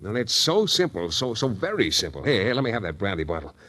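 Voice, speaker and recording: An actor playing a wry, terse, flirtatious hardboiled private detective in a 1940s radio drama. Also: male voice, fairly low pitch, big pitch swings, fast talker, a bit bright. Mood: intent, confident. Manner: wry, terse tough-guy patter. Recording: 1940s radio recording with music.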